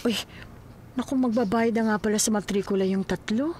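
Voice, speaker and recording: A woman playing a concerned, inquisitive elderly mother in a radio drama, teasing and expressive. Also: medium pitch, fairly quick, a little hiss or hum, lightly acted. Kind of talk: Filipino radio drama acting.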